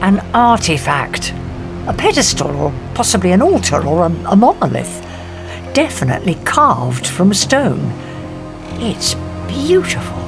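An actress playing a headstrong, curious professor in a science fiction audio drama, haughty and wry in manner. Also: female voice, medium pitch, very animated, measured pace, vocal fry, music in the background. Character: headstrong, curious woman professor exploring an alien planet.

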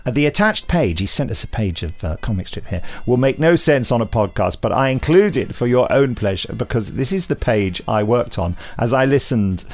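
Male podcast host, highly varied intonation, playful and emphatic, reads aloud and banters. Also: big pitch swings, normal speed, few pauses, darkish tone. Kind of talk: reading listener email aloud with chatty asides.

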